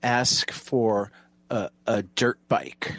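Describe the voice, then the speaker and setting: A man chatting casually as a player in a tabletop game, halting and repeating his words. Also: mid-range voice, fairly even intonation, a bit bright, no echo.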